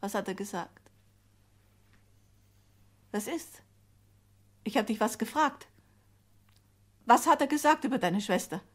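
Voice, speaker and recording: A woman playing a domineering, spiteful old mother in a radio play, sharp, needling and commanding. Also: fairly high pitch, animated, unhurried, pauses often. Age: older.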